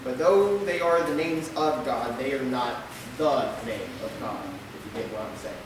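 A young man in his twenties reading a sermon at a pulpit in a small church, steady, with the odd stumble and filler word. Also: medium pitch, normal speed, distant mic, noisy background. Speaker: lay preacher. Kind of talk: sermon read from notes. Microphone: pulpit mic, camera at the back. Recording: echoey church hall recorded from afar.

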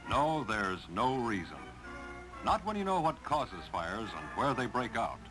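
An American man reading scripted 1950s instructional film narration in a clear, measured delivery. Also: medium pitch, big pitch swings, poor audio. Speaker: narrator of a railroad training film. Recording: 1950s film soundtrack with music behind.

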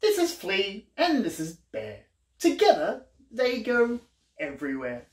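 Animated man reading to kids. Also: high voice, measured pace, echoey room. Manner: playful, exaggerated storyteller delivery with questions to the listener. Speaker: children's entertainer reading aloud. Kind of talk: animated picture-book read-aloud for young children. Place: small living room, indoors.

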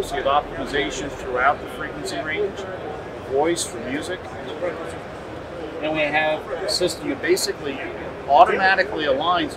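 A man in his forties giving a measured, technical product demonstration as a company representative. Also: on the high side, very noisy. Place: busy indoor convention hall booth. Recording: loud trade show hall, distant mic. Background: loud exhibition hall ambience.

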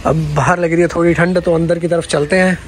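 A young man with a fairly high-pitched voice talks casually to his viewers as he travels. It is recorded on a phone held inside a moving car, with faint road noise behind him. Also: flat delivery, brisk. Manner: chatty, addresses viewers directly. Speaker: travel vlogger. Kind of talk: casual travel vlog narration.

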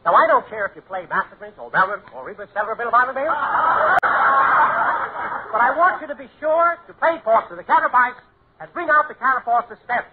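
An actor playing a fussy piano professor in a 1940s radio comedy, confidently rattling off garbled nonsense jargon. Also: male voice, very high voice, animated, fast. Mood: confident, instructive. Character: fussy male piano professor spouting nonsense double-talk. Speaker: comic actor. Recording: noisy, narrow-band 1940s radio recording.

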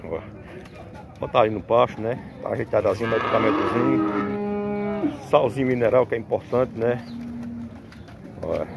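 A man's voice: mid-range voice, lively intonation, moderate pace, vocal fry, pauses often. A man, a farmer vlogging a casual walkthrough at an outdoor cattle market, filling his talk with tag questions. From Northeast Brazil. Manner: chatty, fills with "né" and "pessoal". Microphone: handheld phone camera. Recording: outdoor noise of cattle and vehicles.

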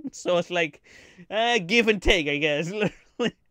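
A man's voice: sits high, lively intonation, takes it slowly, sharp tone, no echo, studio quality. Giggly, rambling man streamer. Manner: giggly, rambling, crude jokes, frequent laughter. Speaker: streamer reacting to anime trailers. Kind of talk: casual live-stream reaction chat.

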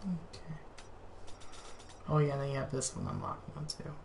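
A woman with an extremely low voice talks casually during co-op play. Game ambience is clearly audible behind her. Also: lively intonation, measured pace, darkish tone, slight background noise. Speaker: video game streamer. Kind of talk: casual co-op gameplay chat. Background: fantasy action game ambience.

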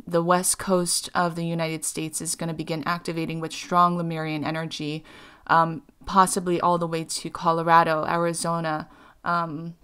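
A woman from Hawaii giving a channeled spiritual talk as a voice-over, halting often with fillers and restarts. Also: fairly low pitch, sharp tone, clean tone.

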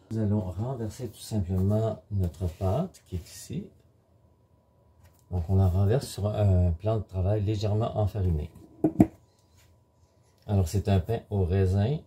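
Man, middle-aged, low pitch, very dark mellow tone, husky, halting instructional delivery, home cooking demonstration. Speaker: home baker presenting a recipe. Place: home kitchen, indoors.

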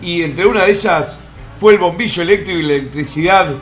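A man's voice: high voice, brisk. A middle-aged man, hosting a live stream from home and rambling through a countdown with vulgar jokes and asides. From born in the United States. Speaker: streamer host. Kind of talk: crude comic countdown commentary. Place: home room in Miami.

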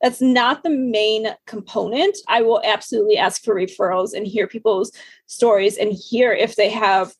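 A woman's voice: mid-range voice, steady tone, normal speed, husky, dry recording. A woman hosting a podcast, chatting conversationally with a guest. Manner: conversational, lots of "like" and "I mean". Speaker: podcast host. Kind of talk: casual interview chat.

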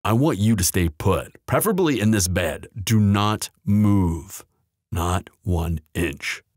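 Man playing a deep, husky, slow-talking bodyguard. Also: animated, no room sound, studio quality, full character voice. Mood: firm, protective. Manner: firm, reassuring, intimate. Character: protective, confident male bodyguard and tender lover. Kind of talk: ASMR boyfriend audio roleplay.

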